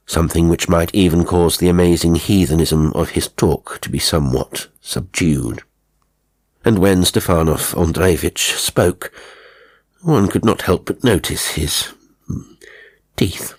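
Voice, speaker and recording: Seeking low-voiced man for horror audiobook narration, deliberate and dramatic in phrasing. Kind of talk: scripted horror story narration.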